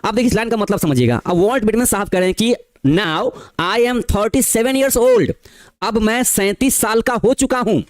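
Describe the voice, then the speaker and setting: High-voiced tutor. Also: male voice, no room sound. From Bihar, India. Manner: explains poem lines in Hindi, reading English verse slowly; addresses students as "bachche", asks rhetorical questions. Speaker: teacher. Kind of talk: exam-prep class lecture.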